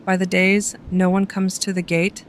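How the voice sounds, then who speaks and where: A man giving slow, solemn scripted narration for a documentary. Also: sits high, level tone, a little hiss or hum.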